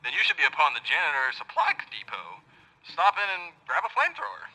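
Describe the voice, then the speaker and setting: A man's voice: fairly high pitch, huge pitch swings, a little fast, bright, forceful tone, exaggerated voice, poor audio. Man acting a brusque scientist, piercing radio voice. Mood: condescending. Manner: snappy, bossy, condescending. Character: brusque, sarcastic male scientist giving orders remotely. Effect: radio comms filter. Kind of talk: audio drama acting.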